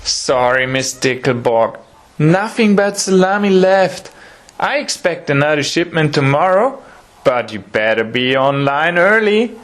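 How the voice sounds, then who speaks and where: An actor playing a mild, honest small-town butcher, polite and earnest, in an audio drama. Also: male voice, fairly high pitch, animated, unhurried, fairly bright tone. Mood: apologetic. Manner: courteous, earnest.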